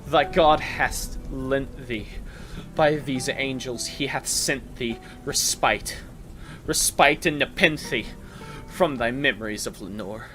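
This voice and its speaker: A man's voice: mid-range voice, slow pace, voice pushed up. A man voicing an anguished, grief-maddened man in a Gothic poem, pleading and raging theatrically over music. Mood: outraged. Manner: theatrical, impassioned shouting and imploring.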